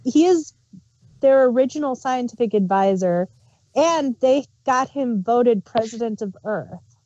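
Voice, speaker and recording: A woman co-hosts in an easy, conversational style. Her voice is fairly high yet soft-edged and dark in tone. The recording is dry and a little muffled. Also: lively intonation, measured pace, no echo. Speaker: podcast co-host reviewing audio dramas. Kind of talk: casual chat.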